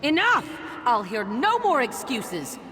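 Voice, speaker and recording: A woman's voice: sits high, wildly swooping pitch, slow, a crowd in the background, exaggerated voice. A woman playing a stern, haughty, cruel noblewoman and mother in video game drama style. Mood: imperious anger. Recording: cavernous reverb, noisy game audio.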